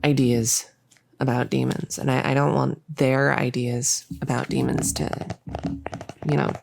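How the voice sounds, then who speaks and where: A performer voicing a bossy yet gentle cultist experimenter in a roleplay audio drama, with a creaky voice and a coaxing, hesitant, rambling manner. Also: mid-range voice, little pitch movement, unhurried. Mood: earnest, explanatory.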